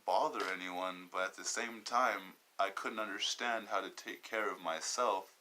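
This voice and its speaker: A young man in his twenties from Southern California with a low voice and a very bright, tense edge. He talks through a personal podcast monologue in a halting, wandering way, often stopping to search for the next word. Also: rough recording. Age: 20s. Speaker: podcast host.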